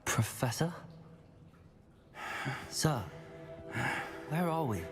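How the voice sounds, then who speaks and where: A man's voice: a little high, expressive, extremely slow, soft background music. A young man playing a polite, deferential teenage wizard student in a video game. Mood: puzzled, polite.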